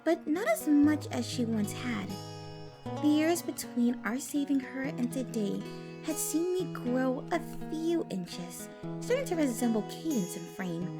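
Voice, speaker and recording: A woman's voice: on the high side, lively intonation, unhurried, over loud music. A woman narrating fan fiction expressively in the first person, as a storyteller.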